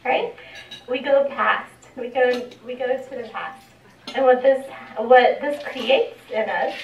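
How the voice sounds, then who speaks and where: Woman coaching breathing in an echoey hall. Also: sits high. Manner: coaxing, instructive, laughs between cues. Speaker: conference speaker leading a breathing exercise. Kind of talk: guided group exercise at a live event. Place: conference hall, indoors. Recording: echoey hall, distant, noisy.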